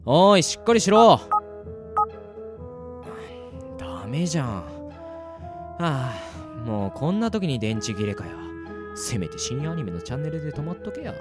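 Man acting animated, slangy bewildered boy. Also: a little high, normal speed, over loud music. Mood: casual grumbling. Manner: casual slangy muttering to himself, exaggerated reactions. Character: slangy cheeky boy, bewildered at waking as Peter. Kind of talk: hobby radio drama acting with self-talk monologue.

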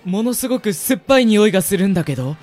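A man's voice: sits high, expressive, very fast, a little mellow, soft background music. A man playing a mild, hesitant and wary young man in an amateur radio drama.

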